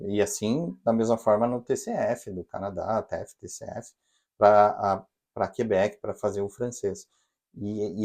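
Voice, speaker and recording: Mellow Brazilian man giving advice. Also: lowish voice. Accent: Brazilian Portuguese. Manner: explanatory, advising listeners directly. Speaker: podcast co-host. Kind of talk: casual advice chat. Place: living in Canada.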